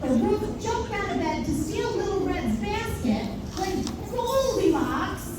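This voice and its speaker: A woman narrates a fairy tale for a children's puppet show. Her voice is high and lively in pitch. The recording is very echoey, picked up from a distance. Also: takes it slowly. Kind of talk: live storybook narration for children. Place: indoor live puppet performance. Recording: very echoey, noisy room, distant mic.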